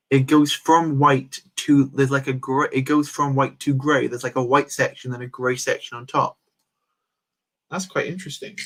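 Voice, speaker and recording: A man's voice: mid-range voice, a bit bright. A man hosting a casual livestream, rambling and answering chat comments while he builds. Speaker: livestream host.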